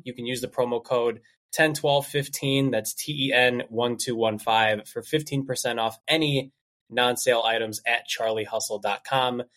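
A man hosting a casual sports podcast, a little congested from allergies, reading sponsor spots in an upbeat host patter. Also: mid-range voice.

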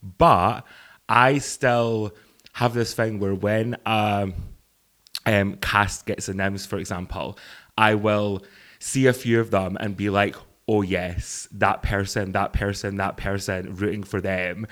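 Croaky-voiced man chatting with lots of ums. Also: lowish voice, fairly even intonation, slow pace, a bit bright. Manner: rambling, chatty, frequent ums and laughs. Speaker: drag performer and show producer. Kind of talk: casual podcast chat.

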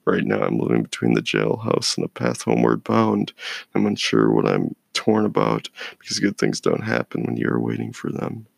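Male poet, deep voice with extremely heavy fry, brooding and measured spoken-word delivery. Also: big pitch swings, no room sound. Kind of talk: spoken-word poetry recital.

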